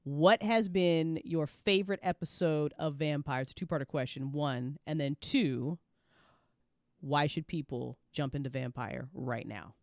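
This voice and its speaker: A woman's voice: sits low, measured pace, a little mellow, dry recording, clean studio sound. A woman hosting a casual after-show, effusive and encouraging with her guests. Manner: effusive, encouraging, swears freely. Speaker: host of a live after-show. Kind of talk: casual interview chat.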